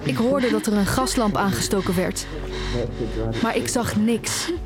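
A woman's voice: mid-range voice, a little slow, natural voice. A young woman acting in an audio drama as an anxious, tender, nearly blind music student who also narrates her story. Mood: reflective, uneasy. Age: young adult. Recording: music under the voice.

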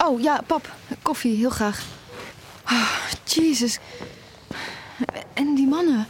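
An actress playing an anxious, questioning young student in an audio drama. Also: female voice, high voice, takes it slowly, a little hiss or hum. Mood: relieved, questioning. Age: young adult.

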